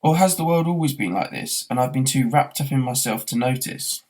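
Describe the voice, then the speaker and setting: A man's voice: medium pitch, lively intonation, fairly quick, a little mellow, close to an everyday voice. A man voicing a bewildered, dryly sarcastic everyman in a storybook, baffled and questioning.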